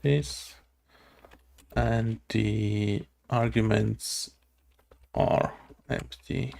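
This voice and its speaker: A man talks through his code at a very slow, halting pace, his voice low and heavily gravelly. He hesitates often, mutters, and asks himself questions as he works it out. Keys clack and click behind him. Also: fairly even intonation, a little mellow. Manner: hesitant, muttering, many fillers and self-questions. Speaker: game developer coding on stream. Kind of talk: thinking aloud while coding. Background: keyboard typing and clicking.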